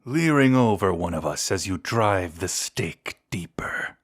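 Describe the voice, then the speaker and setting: Man playing a low-voiced, theatrical vampire lord. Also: expressive. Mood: taunting, gloating. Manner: theatrical, deliberate, taunting yet intimate. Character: seductive, menacing vampire lord, proud and secretly tender toward lost love. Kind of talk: scripted audio roleplay addressed to the listener.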